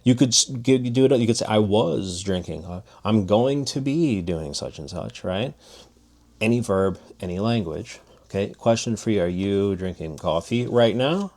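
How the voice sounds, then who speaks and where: Low-voiced man casually teaching languages. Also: lively intonation, moderate pace, darkish tone. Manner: loose, restarting, demonstrative. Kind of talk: casual spoken lesson.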